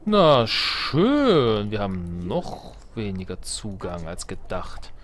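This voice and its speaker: A man's voice: on the low side, wildly swooping pitch, slow pace, fairly bright tone. A man giving casual let's play commentary, musing to himself with dry, playful wordplay.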